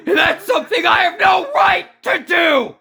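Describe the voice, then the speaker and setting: A woman's voice: very high-pitched, normal speed, gravelly, bright, forceful tone, shouting, voice pushed up, exaggerated voice. A woman voicing a bitter, guilt-ridden, foul-mouthed male war veteran in a game fan dub, intense and scolding. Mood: anguished outburst. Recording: music behind the voice.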